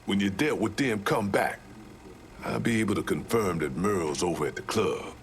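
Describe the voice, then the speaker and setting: A man's voice: on the low side, moderate pace, natural voice. An actor voicing a streetwise, embittered Southern man in a video game, with folksy, salty talk. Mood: scheming. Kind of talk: video game cutscene acting.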